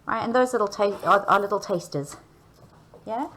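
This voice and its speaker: A woman's voice: mid-range voice, moderate pace, a bit bright, a little hiss or hum, poor audio. A woman giving a hands-on baking demonstration, talking learners through each step in a chatty, instructional way and often checking in. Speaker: cooking and baking teacher.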